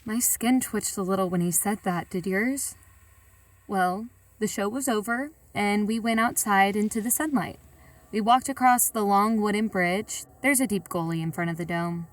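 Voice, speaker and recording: A woman's voice: medium pitch, normal speed, far from the mic, natural voice. A woman playing a plain-spoken, reflective sightseer who tells her story in a colloquial, confiding way. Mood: reflective, uneasy. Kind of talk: first-person audio drama narration.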